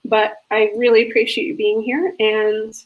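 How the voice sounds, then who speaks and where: A woman hosts a relaxed video-call interview, her voice sitting a little high. She talks in an upbeat, encouraging way. Her sentences run on with ums and you-knows. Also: moderate pace, narrow, tinny audio. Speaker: host.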